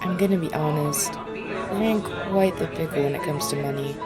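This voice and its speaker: A woman playing a kindly, concerned Victorian wife and hostess in an audio drama, gentle and chatty. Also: sits low, moderate pace, over loud music, close to an everyday voice. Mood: confiding.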